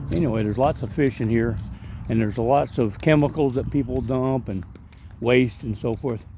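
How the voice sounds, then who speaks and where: Gravelly middle-aged guy rambling on a park walk vlog, lots of ums, faint outdoor noise. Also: mid-range voice, mellow. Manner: rambling, chatty, with frequent ums and asides. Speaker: vlogger and street evangelist. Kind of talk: casual walking vlog narration.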